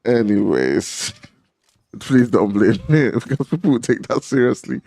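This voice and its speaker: A man's voice: mid-range voice, animated, gravelly, a little mellow, dry recording. A man with a Nigerian accent doing live esports commentary, laid-back and joking. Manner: laid-back, joking, fills pauses with hums. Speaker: esports caster.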